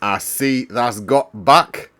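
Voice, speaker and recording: A man voicing a burly, rough but good-natured station master in a storybook, speaking broad, hearty Yorkshire. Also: mid-range voice, takes it slowly, sharp tone.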